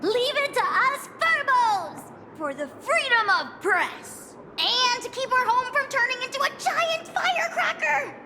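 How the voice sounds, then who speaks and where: Tiny critter reporter for a game: extremely high, animated pitch, eager, childlike, melodramatic. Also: female voice, measured pace, long reverb tail, background noise. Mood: proud. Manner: exclamatory, melodramatic. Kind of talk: animated video game character acting.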